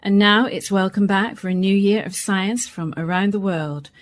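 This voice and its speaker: An actress playing a formal radio continuity announcer giving scripted programme introductions in a radio play. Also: female voice, medium pitch, moderate pace, lightly acted. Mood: formal, welcoming.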